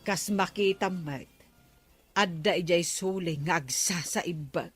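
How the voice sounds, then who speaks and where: A woman's voice: on the low side, unhurried, slightly rough, no room sound, soft background music. A woman playing a gossipy, prying village woman in a comedy radio drama.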